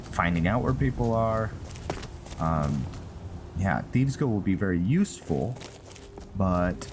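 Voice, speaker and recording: A man doing casual role-play commentary on a video game, halting and musing, often trailing off. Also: deep voice. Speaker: gameplay host, role-player.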